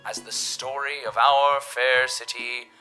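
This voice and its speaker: A man playing a slow, pompous company announcer. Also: medium pitch, flat delivery, pushed and bright, poor audio. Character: smooth corporate propaganda announcer, pompous man. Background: plucked guitar music.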